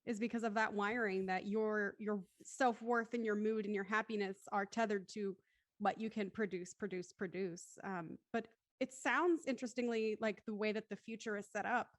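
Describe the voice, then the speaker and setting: A woman's voice: mid-range voice, fairly even intonation, moderate pace, dry recording, studio quality. A woman talking casually and reflectively in a group discussion.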